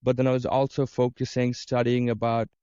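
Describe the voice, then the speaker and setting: Mellow, smooth-voiced man from Dubai. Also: medium pitch, little pitch movement, fairly quick, no room sound, lo-fi sound. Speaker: interview guest, career coach and business owner. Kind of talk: conversational interview answers.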